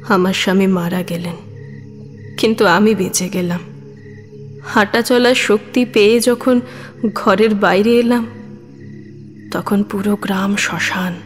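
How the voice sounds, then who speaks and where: A woman playing a gentle, brave young village widow in an audio drama, speaking with earnest feeling. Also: mid-range voice, big-room sound, natural voice. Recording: music under the voice.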